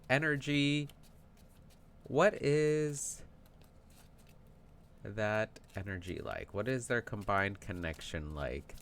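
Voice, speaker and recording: A man hosting a casual tarot card reading, talking in an animated, chatty way with plenty of fillers, his voice tired from yelling. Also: medium pitch, clear, resonant tone. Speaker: tarot reader.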